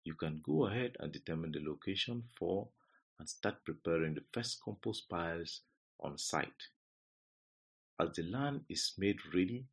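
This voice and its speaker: A man from Cameroon with a Cameroonian accent, reading a scripted lesson as an instructor in deliberate, separated phrases. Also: low-pitched, husky.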